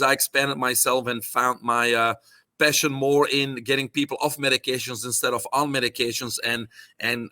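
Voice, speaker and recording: Middle-aged guy w a belgian accent, bright voice, dry close sound, lots of uhs. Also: medium pitch, no echo. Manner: fluent, many "uh" fillers, lists things off. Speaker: guest, holistic nutrition doctor. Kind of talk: casual interview talk.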